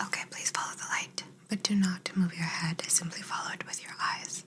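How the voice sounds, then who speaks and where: A woman playing a curious, gently clinical alien in an ASMR roleplay, whispering soft, breathy instructions in a soothing, measured way with murmured hums. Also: on the low side, fairly even intonation. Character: curious, gently clinical female alien examining an abducted human.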